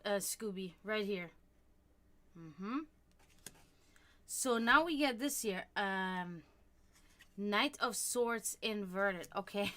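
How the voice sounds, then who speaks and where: A woman born and raised in Germany, with German as her first language, chatting warmly as she reads tarot cards for viewers on a live stream. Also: fairly high pitch. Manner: chatty, animated, laughs often, talks to chat.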